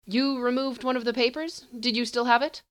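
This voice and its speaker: A woman's voice: a little high, fairly even intonation, fairly quick, clean tone, voice pushed up, lightly acted. A woman voicing a haughty, condescending male sorcerer with a clipped, superior manner. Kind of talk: storybook reading.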